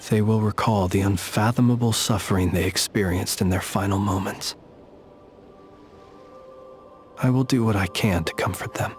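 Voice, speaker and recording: A solemn game character played by a man, a gentle keeper of the dead with a lowish voice. He speaks formally and eloquently, in measured phrases, over clearly audible background music. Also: natural voice. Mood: solemn, reflective. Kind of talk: scripted video game voice acting.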